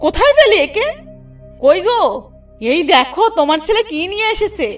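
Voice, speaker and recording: A woman's voice: very high-pitched, big pitch swings, normal speed, distant mic, soft background music. A woman voicing a panicky, scolding village mother in a Bengali cartoon, urgent and repetitive. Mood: panicked.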